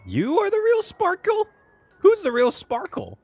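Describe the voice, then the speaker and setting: A man's voice: very high voice, wildly swooping pitch, unhurried, vocal fry, a little mellow, soft background music. A man giving casual, exclamatory reaction commentary while playing a video game, swearing freely. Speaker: gaming reaction streamer.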